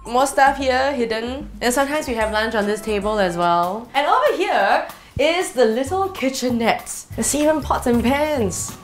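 A woman's voice: high voice, sing-song, unhurried, over music. A young woman from Singapore with a Singaporean English accent, chatting in a bubbly, giggly way as the host of a beauty show, filmed in a small apartment set. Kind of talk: casual vlog chat. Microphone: off-camera mic.

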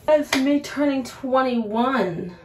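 A woman's voice: sits high, animated, takes it slowly. A woman in her twenties, a little tipsy, rambling to camera in a casual vlog and giving an earnest pep talk full of fillers. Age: 20s. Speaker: vlogger. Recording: loud background noise.

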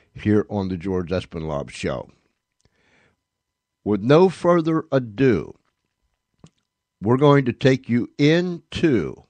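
A man speaking as a podcast host, making an unscripted announcement with emphatic repetition and deliberate pauses. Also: fairly low pitch, darkish tone, no room sound.

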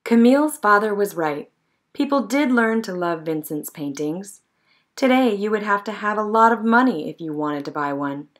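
The woman at story time in a primary classroom, reading a picture book aloud with measured expression, her pitch in the middle range. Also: animated. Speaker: storybook reader. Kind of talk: scripted narration, read-aloud of a children's picture book.

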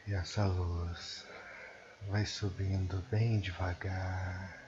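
Dull lo-fi recording, Brazilian man, low-pitched voice, hushed and soothing, guided sleep meditation, long pauses. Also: flat delivery, noisy background. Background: prominent meditation music.

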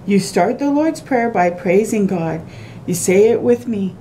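A middle-aged woman reads a children's picture book aloud in a mellow, rounded voice. She speaks in simple, sing-song phrases aimed at small children and adds little asides. The home recording has noticeable background noise and a low rumble. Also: animated, measured pace, rough recording. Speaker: children's storytime reader. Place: small room at home, by a window.

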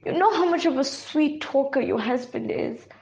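A woman playing a guilty twin sister in a melodrama, halting and apologetic as she pleads for forgiveness. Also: sits high, lively intonation, noisy background. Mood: pleading. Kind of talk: melodramatic audio-drama acting. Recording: echoey room, slightly muffled.

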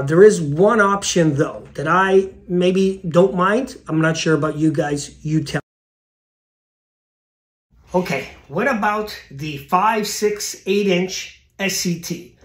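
A middle-aged man chatting to the camera from an indoor room, giving casual advice as the host of an astronomy channel, with fillers and restarts. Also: sits high.

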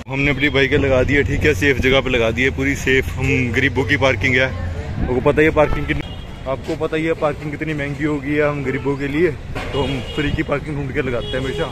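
A man, chatting casually and jokingly as a vlogger. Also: mid-range voice. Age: 20s. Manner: joking, colloquial. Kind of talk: casual vlog chat. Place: outdoor streets and busy mall. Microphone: phone at arm's length. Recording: very noisy phone vlog sound. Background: loud urban ambience.

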